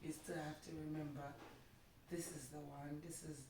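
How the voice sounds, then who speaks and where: A woman's voice: sits low, little pitch movement, moderate pace, a little mellow. A woman from Zimbabwe with a Zimbabwean accent, chatting as an interview guest and making her points emphatically with repeated phrases. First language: Shona. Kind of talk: casual conversation about marriage. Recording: echoey room, distant mic, faint noise.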